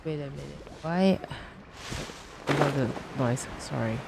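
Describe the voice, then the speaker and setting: A woman's voice: low voice, measured pace, creaky, heavy background noise. A woman live-streaming a video game with casual, hesitant commentary, often murmuring asides to herself.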